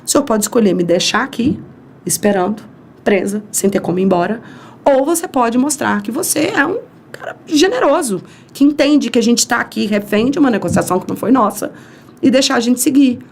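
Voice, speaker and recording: A woman's voice: a little high, fairly quick, creaky, slight background noise. A woman from Brazil telling a long story as a podcast guest, acting out the voices in it. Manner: animated, acts out quoted dialogue. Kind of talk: storytelling in an interview.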